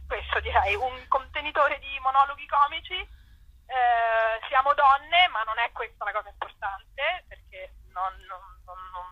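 A woman's voice: sits high, animated, unhurried, dull audio. A woman, chatting casually as an interview guest, hesitant and often trailing off.

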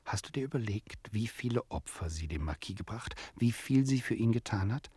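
An actor playing a teasing, argumentative storyteller who addresses his listener, with a husky voice, in a radio play. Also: lowish voice, expressive, normal speed, darkish tone, no room sound. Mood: teasing, persuasive. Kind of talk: scripted radio play narration.

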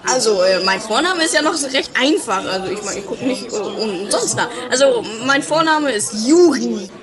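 A man playing a grumpy, banished guru in a comedy radio play, with a halting, dry, grumbling delivery. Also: very high-pitched, animated, over a noisy crowd. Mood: halting, self-important.

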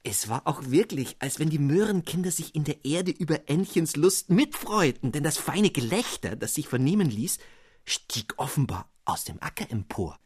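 Expressive male storybook narrator. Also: fairly high pitch, moderate pace, husky, no room sound.

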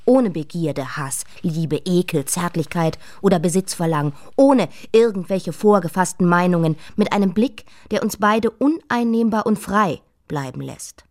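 A woman's voice: lowish voice, normal speed, hardly any pauses, a little mellow, close to an everyday voice. An actress playing an imperious, restless young queen in a radio play, speaking with commanding intensity. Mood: wondering, intimate. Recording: long reverberant hall.

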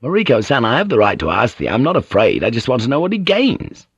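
A narrator acts out a male foreign sailor from a storybook, blunt and passionate. He probes insistently in a medium-pitched voice, sometimes with archaic phrasing. The sound is dry and a little dull. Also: huge pitch swings, quick pace, slightly rough, no room sound, exaggerated voice. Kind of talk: audiobook character dialogue.

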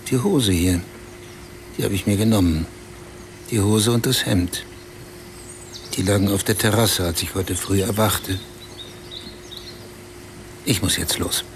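An actor playing a desperate, battered man who tells of his humiliation in short, halting phrases in a radio play. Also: on the low side, noisy background. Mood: subdued, weary. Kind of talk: radio play acting, storytelling.

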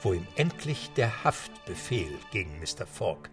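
An actor narrating a radio play as an English butler, in a measured storytelling tone. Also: male voice, sits low, big pitch swings, music in the background. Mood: calm.

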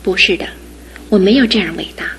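An actress playing a devoted young fiancée in a studio radio drama, speaking earnestly and with soft insistence. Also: female voice, medium pitch, fairly quick, husky. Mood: gently firm. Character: devoted young fiancée, gentle but resolute.

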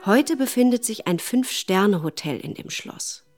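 Actress as a sober widow, medium-pitched, over music. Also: female voice, expressive, moderate pace, a little mellow, lightly acted. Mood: grave, reflective. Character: sober widow recounting exile and survival. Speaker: narrator in a radio play. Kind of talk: scripted first-person radio drama narration.

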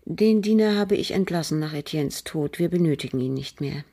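An actress playing a bitter, cold aristocratic French widow in a radio crime drama, speaking formally and guardedly with a husky, breathy voice. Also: female voice, sits low, lively intonation, warm tone. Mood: guarded, controlled. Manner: measured, guarded, formal, with pauses. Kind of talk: German radio drama acting.

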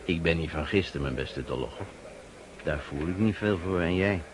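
An actor playing a gruff, shrewd Russian partisan officer in a radio drama, commanding and emphatic. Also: male voice, low voice, moderate pace, crowd noise, lightly acted. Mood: brisk, scheming. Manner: commanding, emphatic, grumbling oaths. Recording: noisy old radio play recording.

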